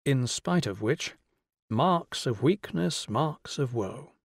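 A man's voice: medium pitch, animated, slow pace, slightly rough, no room sound, heavily acted. Man playing a dry, sceptical male visitor.

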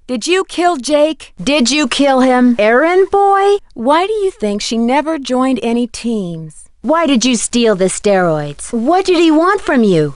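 Curious young detective, dry close sound. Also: female voice, high voice, no echo. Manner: inquisitive, clearly enunciated. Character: Nancy Drew, curious plucky young female amateur detective. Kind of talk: video game dialogue, questioning.

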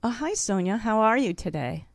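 A woman's voice: mid-range voice, expressive, moderate pace, no echo. A middle-aged woman hosting a call-in show in a conversational style, close on a studio microphone. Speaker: radio show host.

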